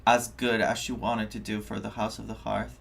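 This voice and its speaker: A game streamer giving casual live commentary, musing and halting while thinking out loud. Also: medium pitch, little pitch movement, gravelly.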